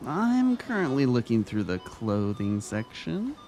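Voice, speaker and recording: Man acting an earnest, expressive kindly brother. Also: medium pitch, measured pace, soft background music. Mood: easygoing. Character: earnest, kindly male vice-principal and devoted brother. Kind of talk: audio drama reading of fan fiction.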